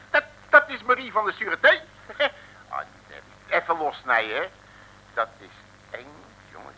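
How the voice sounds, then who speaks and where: An actor playing a brash, excitable, slangy detective with a blustery, stammering manner in a 1950s comic radio serial. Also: male voice, mid-range voice, creaky, breathy edge, exaggerated voice. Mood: hearty, wry. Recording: old noisy radio recording.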